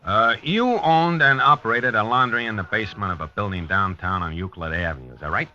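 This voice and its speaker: Forceful radio police lieutenant. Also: male voice, lowish voice, fairly quick. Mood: firm questioning. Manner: plain-spoken, probing, polite. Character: dogged male police lieutenant investigating a homicide. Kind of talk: 1950s radio acting, narration and dialogue. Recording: dull 1950s radio recording.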